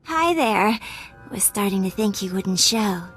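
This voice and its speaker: A woman's voice: medium pitch, expressive, a little slow, soft background music. A woman playing a flirty, deceitful, lovesick starship captain in a video game, with a coy, sugary manner. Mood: sweetly coy. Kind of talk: video game cutscene acting.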